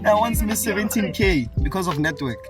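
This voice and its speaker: A young man talks excitedly in a casual street interview. He stands some distance from the mic. Loud music plays behind him. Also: high-pitched, fairly quick.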